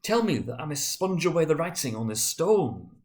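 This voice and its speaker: A man's voice: on the high side, sing-song, a little mellow, voice pushed up. A man voicing a frightened, repentant old miser who stammers and pleads in a dramatic storybook reading. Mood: pleading, earnest. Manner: stammering, halting, urgent.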